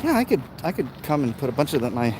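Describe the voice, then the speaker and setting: A man's voice: medium pitch, big pitch swings, fast. An American man in casual, rambling livestream chat, talking off the cuff and answering comments as he walks. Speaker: livestream host. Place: outdoors, walking along neighborhood streets. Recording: outdoor street noise with passing traffic. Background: urban outdoor ambience, vehicles.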